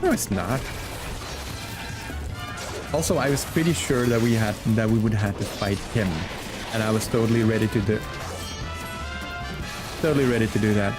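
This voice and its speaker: A man's voice: fairly low pitch, normal speed, pauses often, over music. A man streaming a game with casual, muttered commentary and reactions.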